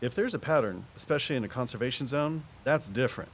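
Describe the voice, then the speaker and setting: Audio drama support: male actor as measured, nature-loving field officer, patient and reflective, faint hiss behind. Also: medium pitch, expressive, close to an everyday voice. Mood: measured, practical.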